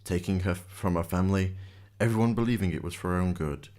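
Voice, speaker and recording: A man's voice: low-pitched, fast talker, darkish tone. A man reading scripted narration as the storyteller of a radio drama.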